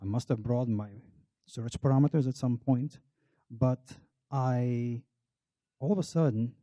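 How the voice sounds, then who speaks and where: A man's voice: mid-range voice, unhurried, dark tone, dry recording. A middle-aged Belgian man giving a public talk, telling a wry, self-deprecating personal story. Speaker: marketing researcher on a panel.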